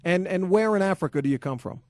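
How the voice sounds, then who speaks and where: A man's voice: high voice, lively intonation, fast talker, darkish tone, no room sound, studio quality. Ranting sports radio host, man. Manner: combative, ranting, sarcastic. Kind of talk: heated opinion monologue and call-in.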